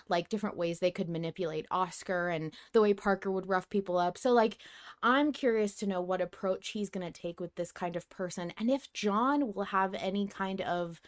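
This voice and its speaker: A woman hosting a podcast, chatting casually about fiction as a fan in a playfully dramatic way. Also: on the low side. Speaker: podcast host.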